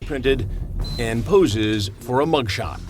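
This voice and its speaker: A man's voice: medium pitch, lively intonation, slow, a little mellow. A man giving scripted narration for a TV crime documentary, dramatic and wry. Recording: TV clip with background music.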